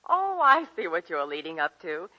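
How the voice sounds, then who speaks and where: Chirpy, lively 1940s ad girl. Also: lowish voice, moderate pace. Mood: eager, chirpy. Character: eager, chirpy young woman reciting soap virtues. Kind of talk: 1940s radio commercial acting. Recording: muffled vintage 1940s radio broadcast.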